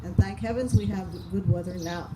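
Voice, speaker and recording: A woman's voice: mid-range voice, steady tone, fairly quick. A woman reading picture books aloud to young children, stretching words for drama and often stopping to ask them simple questions. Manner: asks the listeners frequent simple questions, dramatic word stretching. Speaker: children's storytime reader. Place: outdoors among flowers and open sky. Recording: outdoor recording with loud birdsong and noise.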